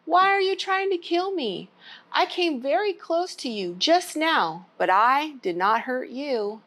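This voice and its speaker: A woman's voice: high-pitched, expressive, measured pace, a bit bright, voice pushed up. A woman reading the part of a brave young shepherd man in a children's storybook, in an earnest manner. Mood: calling out.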